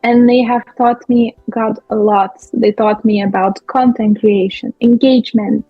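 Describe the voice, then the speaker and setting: A young woman from Lithuania, a guest speaking in a friendly, halting way with many fillers. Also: fairly high pitch, fairly even intonation, husky, no room sound, soft background music. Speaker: guest, business owner. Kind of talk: casual interview talk. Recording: muffled, dull audio.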